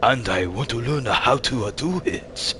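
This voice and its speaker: A man's voice: mid-range voice, a little slow. A man in his thirties casually commentating his gameplay, chatting with viewers and laughing often. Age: 30s. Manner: laid-back, chats with viewers and laughs often. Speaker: streamer. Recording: steady background noise.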